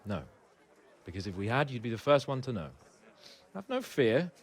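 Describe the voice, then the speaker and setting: An actor playing a confident, reassuring medieval comrade in a cinematic game drama. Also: male voice, mid-range voice, big pitch swings, moderate pace.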